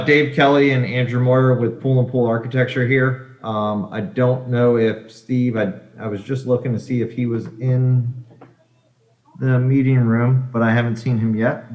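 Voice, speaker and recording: A man speaking as an architect at a public meeting, answering questions in halting, hesitant phrases. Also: medium pitch. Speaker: architect presenting for an applicant. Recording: echoey, dull video-call audio.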